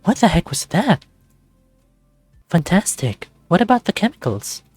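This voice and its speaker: A man voicing a brisk, friendly but probing female lab supervisor in a visual novel. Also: high voice, big pitch swings, darkish tone, slightly rough, no echo, soft background music, voice pushed up, full character voice.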